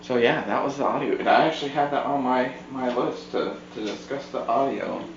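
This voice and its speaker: A man's voice: mid-range voice, a little fast, vocal fry. A man co-hosting a casual home podcast, talking in an informal conversational way. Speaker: podcast co-host. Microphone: podcast microphone on a tripod. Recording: muffled roomy podcast mic, background hum.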